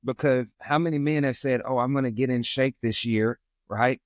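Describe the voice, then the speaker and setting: A man who is a guest on a podcast speaks with firm emphasis, hammering home his points like a preacher. His pitch is middling. The sound is close and dry. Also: fairly even intonation, normal speed, no room sound. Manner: emphatic, preachy, repeats catchphrases. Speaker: guest, real estate investor. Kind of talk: casual podcast conversation.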